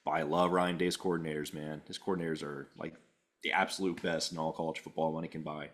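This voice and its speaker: A man's voice: rumbling low, level tone, fast talker. A man co-hosting a sports podcast, talking casually, stammering and dropping in "man" often. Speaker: podcast co-host.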